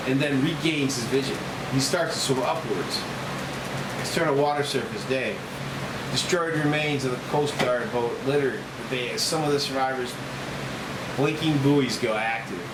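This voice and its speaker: Distant, roomy male script narrator over loud hum. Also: mid-range voice. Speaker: stage directions reader. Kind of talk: scripted narration at a table read.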